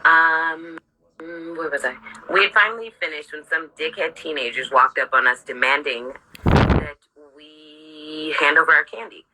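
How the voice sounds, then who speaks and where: A woman with a husky voice reading her own memoir aloud informally, candid, sardonic and sweary, breaking off for asides. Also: sits low, measured pace, bright, forceful tone, rough recording.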